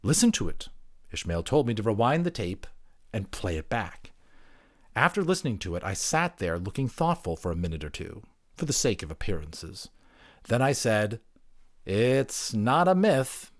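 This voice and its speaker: A man's voice: lowish voice, very animated, normal speed, dry recording, studio quality. A man reading a first-person novel aloud as a dramatic audiobook narrator, with theatrical phrasing.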